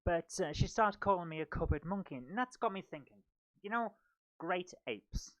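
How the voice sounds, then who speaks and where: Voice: mid-range voice, animated, moderate pace, no room sound. A stand-up comedian hosting a live show in a rambling, self-deprecating manner. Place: small book-lined room at home.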